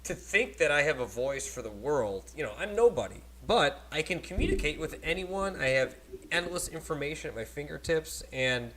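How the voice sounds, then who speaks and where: Man, podcast host, animated and rambling, laughs a lot, cheap desk mic, faint hum behind. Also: a little high, normal speed. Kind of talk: casual podcast chat. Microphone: cheap desk microphone at a laptop.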